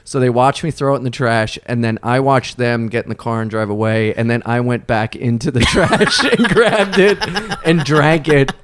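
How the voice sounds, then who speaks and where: A man's voice: medium pitch, steady tone, moderate pace, few pauses. A man, a comedian guest, telling rambling stories on a podcast and laughing through them. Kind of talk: casual podcast storytelling.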